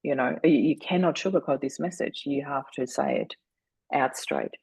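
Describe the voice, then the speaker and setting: A woman in her forties from Austria, a German speaker, who talks as a podcast guest and tells her story earnestly and deliberately, slowing down on key moments. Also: a bass voice, expressive, vocal fry, a little mellow, breathy edge, no room sound. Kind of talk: reflective storytelling.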